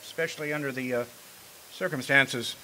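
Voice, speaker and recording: A man's voice: mid-range voice, steady tone, normal speed, bright, forceful tone, a little hiss or hum, rough recording. A man playing an awkward, good-humoured newsman with a hesitant manner, in a radio drama.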